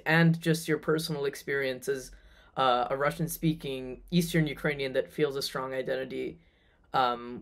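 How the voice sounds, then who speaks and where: Podcast host, man, mid pitch, easy-going wrap-up tone. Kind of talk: interview wrap-up.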